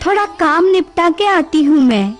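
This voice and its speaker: A woman's voice: very high voice, lively intonation, a little slow. A woman voicing a cheerful, doting village mother in a cartoon mythological drama, bubbly and affectionate. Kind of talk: animated mythological drama dub. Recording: background music under dialogue.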